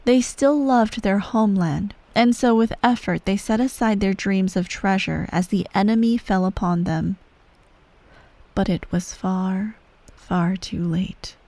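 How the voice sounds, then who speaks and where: A cold and manipulative empress , acted by a woman, holds court at a child's bedside in an ASMR roleplay. She speaks in measured, condescending phrases at a middling feminine pitch, often sinking toward a breathy whisper. The sound is dry and intimate, right up at the microphone. Every phrase carries the weight of a stern parent who expects to be obeyed. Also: dark tone, no echo, lightly acted. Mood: grave, ominous. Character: cold, manipulative female ruler of an evil empire, stern parent. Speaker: voice actor in a roleplay audio.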